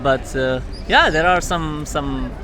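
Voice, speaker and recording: A man speaks in a slightly high voice. He explains casually, often repeating phrases, with clear urban noise around him outdoors. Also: slow pace. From Morocco. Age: 20s. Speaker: interviewee. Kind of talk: casual conversational interview. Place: outdoors on a city street. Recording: noisy urban street background. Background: clear street and traffic noise.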